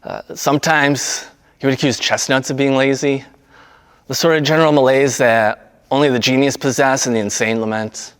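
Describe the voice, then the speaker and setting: A man's voice rings in a long reverb. He has a smooth, resonant tone at an average pitch. His delivery is halting and understated, in the natural manner of an amateur actor reading a monologue. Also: fairly even intonation. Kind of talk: casual intro, then understated monologue reading.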